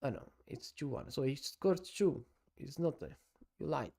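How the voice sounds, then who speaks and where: A man from Italy with an Italian accent, giving halting casual live-stream commentary on taekwondo fights and answering viewers. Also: medium pitch, lively intonation, gravelly, warm tone. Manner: halting non-native English with many "uh"s, chatting with viewers.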